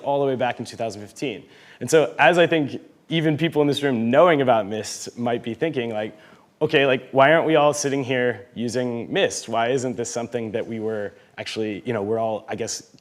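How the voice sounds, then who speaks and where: Casual man giving a conference talk. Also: mid-range voice. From United States. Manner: casual and self-deprecating, with frequent fillers and light laughs. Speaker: conference speaker, head of marketing.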